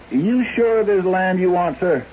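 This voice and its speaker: An actor playing a big, folksy county clerk and lawman in a radio drama, with a homespun rural American drawl. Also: high-pitched. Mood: doubtful. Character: folksy, plain-spoken frontier county clerk and sheriff, big man. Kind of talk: old-time radio drama acting. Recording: dull, faintly noisy vintage radio broadcast.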